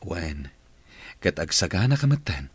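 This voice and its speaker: A man's voice: low voice, very animated, a little slow, slightly rough, no room sound, exaggerated voice. An actor playing a grateful, earnest businessman boss in a radio drama.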